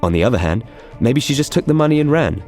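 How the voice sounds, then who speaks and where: An actor playing a pragmatic, defensive operative in an audio drama, matter-of-fact and skeptical. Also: male voice, medium pitch, big pitch swings, quick pace, a little mellow, soft background music. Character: defensive, pragmatic male operative reporting to his leader.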